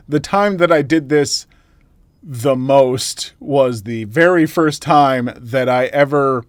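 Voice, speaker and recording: A man's voice: on the high side, no room sound, clean studio sound. A man narrating a storytelling channel, reading viewer comments aloud with a soothing voice and chatty, self-mocking asides. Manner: lively, laughs at himself in the asides.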